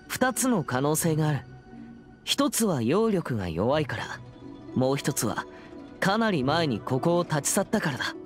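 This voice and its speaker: Earnest teenage boy exorcist. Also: a little high. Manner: serious, polite, slightly stiff. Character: earnest, composed teenage boy exorcist hunting evil spirits. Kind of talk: anime game character acting.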